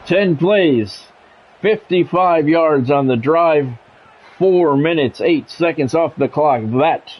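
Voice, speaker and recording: Guy streaming football game play-by-play, slightly high voice, clipped announcer calls, music behind him. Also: lo-fi sound. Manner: announcer-like, short clipped phrases, excited calls on big plays. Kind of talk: live sports play-by-play commentary of a football video game.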